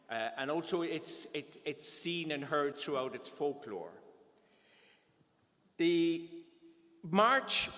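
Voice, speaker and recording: An older man presenting a concert, speaking in a measured, explanatory way from a lectern. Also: on the high side, a bit bright. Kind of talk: stage announcing. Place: large concert hall on stage. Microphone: lectern microphone. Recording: long hall reverb.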